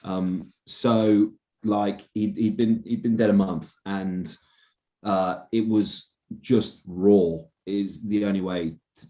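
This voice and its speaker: Low, dark-voiced young man, halting. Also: fairly even intonation. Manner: halting, many restarts and fillers, earnest. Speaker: journalist, interview guest. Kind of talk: casual podcast conversation.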